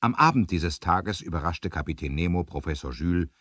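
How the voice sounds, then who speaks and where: Low-voiced male storyteller, dry studio sound. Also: expressive, brisk, fairly bright tone, dry recording. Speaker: narrator. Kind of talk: scripted adventure radio play narration.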